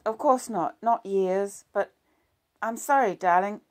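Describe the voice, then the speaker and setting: A woman voicing a distraught mother who turns sharp and accusing, her voice yelping into shouts. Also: slow, close to an everyday voice. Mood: bitter, pleading. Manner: shrill, breaking into yells.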